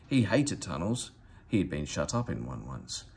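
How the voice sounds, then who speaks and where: A man reading a children's story aloud as scripted narration, in an expressive storytelling manner. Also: low-pitched, moderate pace, vocal fry, breathy edge. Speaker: storybook reader.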